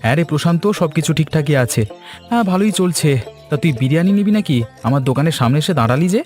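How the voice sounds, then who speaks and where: A man's voice: on the high side, lively intonation, very fast, a little mellow, close to an everyday voice. Man as snooty cartoon shop owner, arrogant n dismissive, faint music under it. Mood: smug. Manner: haughty, dismissive. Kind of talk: animated moral story voice acting.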